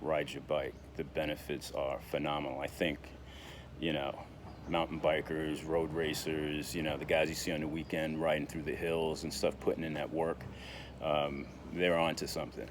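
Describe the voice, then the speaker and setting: A man in his sixties with an extremely low voice that hardly rises or falls. He talks in a halting, casual way, full of fillers and restarts. Steady outdoor noise with passing vehicles sits clearly behind him. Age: 60s. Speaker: vlogger. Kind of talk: casual vlog monologue.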